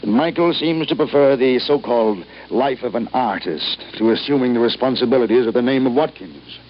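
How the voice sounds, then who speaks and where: A man's voice: medium pitch, a little fast, close to an everyday voice. An actor playing an ailing, embittered old businessman, formal, curt and emphatic in a radio drama. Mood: disapproving, imperious. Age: older. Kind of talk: 1950s radio drama acting. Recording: muffled, noisy old radio recording.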